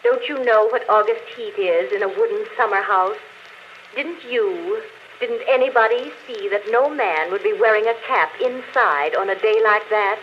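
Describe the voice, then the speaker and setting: An actress playing an unrepentant murderess confessing in an intimate, matter-of-fact way, in 1940s radio drama style. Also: female voice, mid-range voice, moderate pace, natural voice. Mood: calm, unrepentant. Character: murderess confessing on a phonograph record, coolly unrepentant. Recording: dull, noisy old radio recording.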